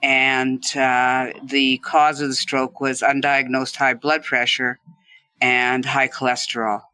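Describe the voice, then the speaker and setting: Male guest, bright tone, relaxed storyteller, dry recording. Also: medium pitch, slow, clean tone, no room sound. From Canada. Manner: relaxed, laughs while telling his story. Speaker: stroke survivor guest. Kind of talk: conversational interview storytelling.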